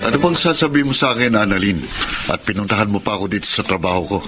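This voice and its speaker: An actor with a Filipino accent playing a cocky, hot-tempered older brother in a radio drama. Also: male voice, lowish voice, lively intonation, rapid-fire, over loud music, natural voice. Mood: cocky, dismissive. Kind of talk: Tagalog radio drama acting.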